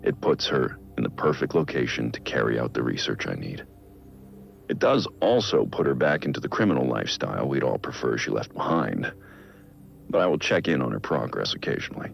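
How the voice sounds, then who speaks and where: An actor playing a brooding middle-aged American vigilante in a video game, dictating case logs in a gravelly, measured, introspective voice. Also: male voice, very animated, lo-fi sound, faint music behind, heavily acted. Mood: measured, resolute. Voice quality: gravelly, low. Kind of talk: scripted audio-log monologue.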